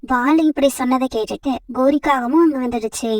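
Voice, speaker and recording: A woman narrating a children's cartoon story in a plain, even storytelling manner. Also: sits high, fairly quick, no echo, distant mic.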